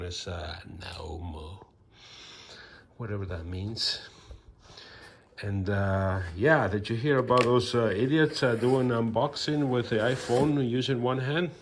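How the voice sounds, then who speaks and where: A man's voice: low-pitched, a little hiss or hum. A man with a Mexican accent casually unboxing and reviewing a microphone in his woodworking shop, rambling with dry self-mocking humor and many fillers. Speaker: woodworking channel host.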